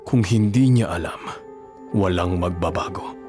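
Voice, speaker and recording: A man's voice: low voice, unhurried. A man playing a firm, secretive father in a radio drama, stern and grave. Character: firm, secretive father guarding his child. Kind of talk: Filipino radio drama acting. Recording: dramatic music underneath.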